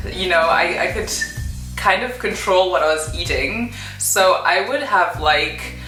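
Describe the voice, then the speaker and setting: A woman's voice: lowish voice, pushed and bright, roomy sound, rough recording. A young woman from Zimbabwe telling her story in a chatty vlog, full of fillers. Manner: chatty, many fillers and "kind of". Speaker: vlogger.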